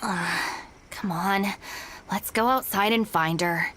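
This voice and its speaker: An actress playing a cool, dutiful young gang deputy in a video game, matter-of-fact, guarded and a little weary. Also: female voice, mid-range voice, slow, noisy background, lightly acted. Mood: serious, resigned.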